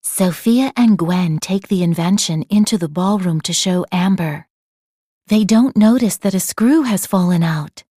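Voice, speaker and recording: A woman narrating a children's storybook aloud in an expressive style. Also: on the low side, a little slow, no room sound, studio quality.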